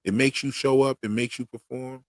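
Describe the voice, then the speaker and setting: A man's voice: mid-range voice, steady tone, normal speed, dry recording. A man hosting his own channel's livestream, chatting casually in slangy, rhythmic talk. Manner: slangy, talks in a loose rhythm; says he once stuttered heavily. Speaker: YouTube channel host on a livestream.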